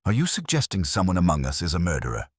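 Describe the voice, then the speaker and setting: Guy doing a gruff defensive colonel in a storybook, really deep, jumpy pitch. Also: wildly swooping pitch, quick pace, dry recording, studio quality, exaggerated voice. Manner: bristling, blunt. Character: gruff, defensive male colonel under suspicion. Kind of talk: audiobook character dialogue.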